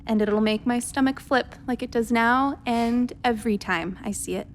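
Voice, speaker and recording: A woman's voice: a little high, normal speed, a little hiss or hum, lightly acted. A woman acting in a radio play as a wry, flirtatious ship's doctor who is tender and reassuring with her lover. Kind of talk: naturalistic radio drama dialogue.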